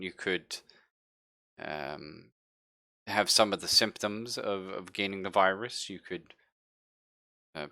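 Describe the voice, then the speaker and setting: A man playing a hesitant scientist in a tabletop sci-fi horror roleplay, explaining haltingly with stammers and evasions. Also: sits low, sharp tone, dry recording, studio quality. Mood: uncertain. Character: evasive, halting male scientist, recently woken from cryosleep. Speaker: role-player in a sci-fi horror game. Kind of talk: improvised in-character tabletop roleplay.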